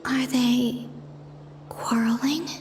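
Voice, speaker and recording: A woman voicing a gentle, wistful, otherworldly young maiden in a video game, soft, breathy and musing. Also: fairly high pitch, steady tone, drawn-out words. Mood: curious. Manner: dreamy, musing. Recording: long hall reverb, music underneath.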